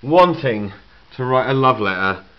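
A man's voice: mid-range voice, lively intonation, unhurried. A man giving a rambling, joking walkthrough of his own mural in an indoor studio room, talking away from the camera. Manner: rambling, joking, trails off into asides. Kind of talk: casual narrated walkthrough. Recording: dull lo-fi camcorder audio.

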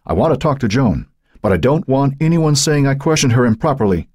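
A man playing a steady small-town police chief in an audiobook, firm, controlled and commanding. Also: mid-range voice, fairly quick, slightly rough, voice pushed up. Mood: guarded, firm.

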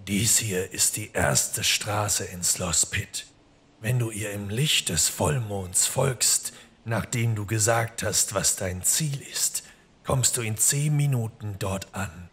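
Low, gravelly goat-man creature. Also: a bit bright, exaggerated voice. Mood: dryly wry. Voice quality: gravelly, husky. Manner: slow, cryptic, deliberate. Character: mysterious dry-witted male goat-man creature of the woods.

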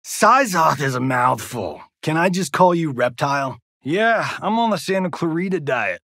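A man's voice: fairly high pitch, sing-song, normal speed, sharp tone, no room sound, studio quality, exaggerated voice. An actor playing a cocky, wisecracking male Hollywood action star in a fighting video game, with a smug, flippant, showboating delivery. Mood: wry, cocky.

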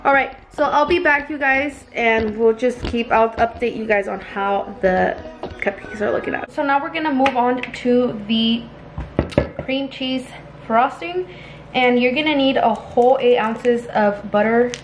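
A woman's voice: a little high, a little slow. A young woman chatting informally to viewers as she gives home baking instructions in a casual, unpolished way. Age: young adult. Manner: informal, chatty, occasionally crude. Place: small home kitchen, indoors. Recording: clearly noisy home kitchen audio with clicks.

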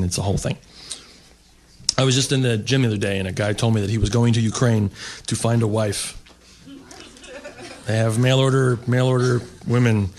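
A man's voice: mid-range voice, slight background noise. Wry man telling a rambling comic story. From United States. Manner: wry, rambling with fillers, mock-snobbish asides. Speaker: stand-up comedian. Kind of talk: stand-up storytelling. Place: seated at a table, indoor stage.

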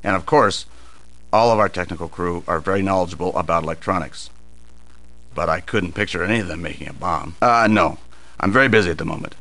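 A deep-voiced, forceful male studio boss from a video game. He is harried and impatient, answering in a brusque, businesslike way. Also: a little fast. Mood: busy, matter-of-fact.

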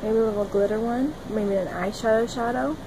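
A young woman talking to her phone camera in a small room, rambling dreamily and giggling, jumping between loud bursts and soft murmurs. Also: fairly high pitch, brisk, noisy background. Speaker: vlogger. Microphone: phone camera at arm's length.

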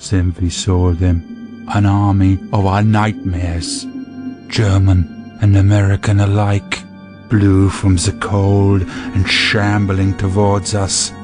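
A man playing a weary soldier confessing to God in despair, a solemn, measured monologue for an audio drama. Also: deep voice, flat delivery. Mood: haunted, despairing. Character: weary, haunted male soldier praying in despair. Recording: music behind the monologue.

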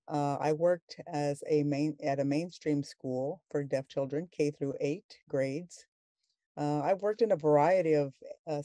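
A sign language interpreter voicing a panelist's words on a video call, halting and full of fillers and restarts. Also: mid-range voice, warm tone, dry recording, clean studio sound.

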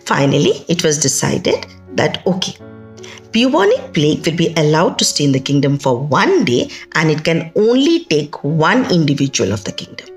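A middle-aged woman with an Indian accent telling a folktale in an expressive, emphatic way, with conversational asides. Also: low voice, normal speed. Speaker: storyteller. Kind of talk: dramatic oral folktale storytelling. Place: indoors, small plain room. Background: music.

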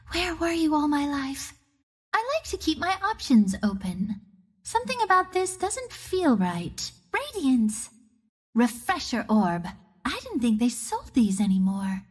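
A woman's voice: high-pitched, full character voice. A woman playing a cocky, playful young archer heroine of the wind in a video game, with a breezy, sassy, theatrical delivery. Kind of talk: video game hero voice lines.

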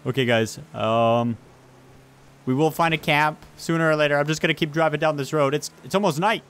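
A man hosting a gaming video, giving excitable, urgent callouts and casual roleplay commentary as he plays with others. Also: a little high, fairly quick, smooth.

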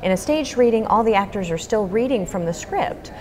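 A woman's voice: medium pitch, animated, brisk, a little mellow. An American woman in her thirties, earnestly explaining as a guest in an informal interview. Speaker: theater guest being interviewed. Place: large indoor lobby. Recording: crowd murmur in public lobby.

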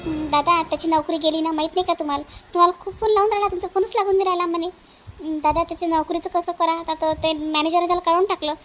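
A woman's voice: extremely high pitch, steady tone, fast, fairly bright tone, faint music behind. A woman voicing an anxious, pleading young sister-in-law in a cartoon family drama, with a Vidarbha accent. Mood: worried, pleading. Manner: pleading, insistent.

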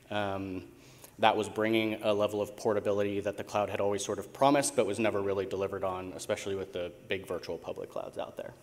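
An American man speaks as a keynote presenter on a conference stage. His voice sits fairly low, and his delivery is informal and a little hesitant, dotted with ums. The sound carries the long reverb of a big hall. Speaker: conference keynote speaker, tech company co-founder.

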